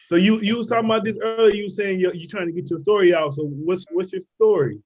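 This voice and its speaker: Fast-talking slangy male podcast co-host. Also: high-pitched, darkish tone, dull audio. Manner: rapid, loose slangy questions. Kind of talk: casual podcast interview.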